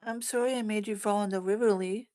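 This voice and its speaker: A woman's voice: medium pitch, fairly even intonation, fast, a little mellow, no echo, studio quality, close to an everyday voice. A woman at a screenplay table read playing an earnest young boy who is homesick and determined.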